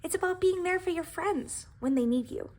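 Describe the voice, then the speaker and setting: A woman's voice: very high-pitched, normal speed, darkish tone, voice pushed up. A woman voicing a humble, anxious and earnest young pie who tells its own story in a children's storybook, with dramatic emphasis. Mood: reflective, upbeat. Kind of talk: expressive storybook reading.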